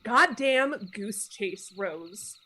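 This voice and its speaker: A woman's voice: medium pitch, expressive, extremely slow, bright, forceful tone, heavily acted. A woman playing a brash, uncontrollable college junior in a dramatic reading, with a casual, sweary manner. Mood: exasperated. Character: brash, uncontrollable, foul-mouthed college junior sorority sister.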